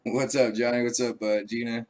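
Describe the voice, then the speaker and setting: A man who is an army veteran hosting his own show, talking casually and rambling with many fillers, his voice raspy from a cold. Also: medium pitch, level tone, normal speed, a little mellow. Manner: laid-back, rambling, frequent uh fillers. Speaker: show host. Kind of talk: casual live-stream talk.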